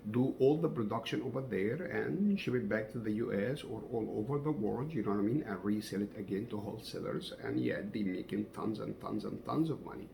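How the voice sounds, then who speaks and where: Man from Egypt, middle-aged, low voice, gravelly, halting speech with many fillers, home recording, faint hiss. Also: a little mellow, low-quality recording. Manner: halting, many fillers, non-native grammar. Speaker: fencing coach sharing opinions. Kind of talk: casual talk to camera.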